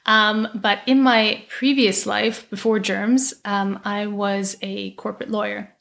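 A woman's voice: medium pitch, level tone, takes it slowly, vocal fry, fairly bright tone. A woman from Scotland with a muddled, mixed accent, a brand founder talking in a friendly way as a podcast guest. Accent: muddled Scottish-English-American. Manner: friendly, laughs easily. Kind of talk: podcast interview.

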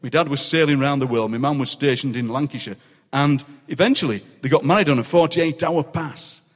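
An older man with a Yorkshire accent, a guest poet telling anecdotes on a stage with quick comic impressions and frequent laughter. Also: medium pitch. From South Yorkshire, England. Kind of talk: live stage chat, storytelling. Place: large city hall on stage. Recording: long reverb of a large hall.

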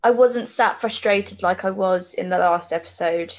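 A woman's voice: lowish voice, normal speed. A British woman co-hosting a podcast in informal, colloquial casual chat, on a slightly dull recording. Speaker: podcast co-host.

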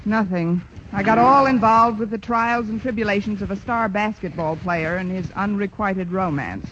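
An actress playing a wisecracking schoolteacher. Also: female voice, medium pitch, normal speed, a bit bright, close to an everyday voice. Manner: dry, sarcastic quips. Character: wisecracking English teacher, dry and sardonic. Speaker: narrator and lead. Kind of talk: 1940s radio acting. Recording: lo-fi old radio broadcast with faint hiss.

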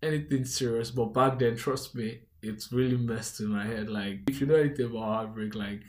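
A man's voice: mid-range voice, fairly quick. A young man from Nigeria with a Nigerian accent, hosting a podcast and telling his own life story in an earnest, conversational way. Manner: earnest, conversational, warm asides and shout-outs. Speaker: podcast host. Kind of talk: personal storytelling monologue.

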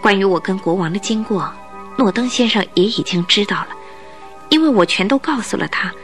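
A woman's voice: mid-range voice, expressive, a little fast, faint music behind, natural voice. Shrewd heroine for radio play, female actor: mid-range voice, determined, wary, well-mannered. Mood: wary, earnest. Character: clever adventurous woman, wary, determined, polite, newly married. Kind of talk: Mandarin radio drama acting.

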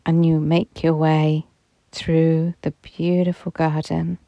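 Calm lady guide talking super slow, mellow soft voice, dry close mic. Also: low voice, vocal fry, dry recording, highs cut off. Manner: soft, soothing, unhurried. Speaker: meditation guide. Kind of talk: guided meditation narration.

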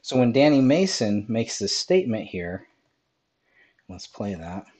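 Male host, slightly low voice, hoarse and raspy, loose rambling commentary delivery. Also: big pitch swings, measured pace, a little mellow, dull audio. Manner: rambling, many ums and restarts. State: hoarse from a cold. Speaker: podcast host. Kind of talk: live-stream commentary over video clips.

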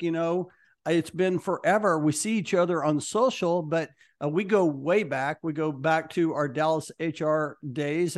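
A man hosting a leadership podcast, chatting with a guest in an upbeat, enthusiastic way. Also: fairly high pitch. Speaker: podcast host. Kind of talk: casual interview chat.

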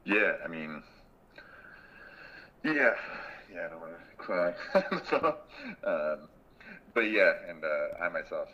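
A man in his thirties, a guest in a casual interview, speaking in a thoughtful, reflective way and pausing often mid-sentence. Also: low-pitched, expressive, lo-fi sound. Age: 30s. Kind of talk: casual conversation.

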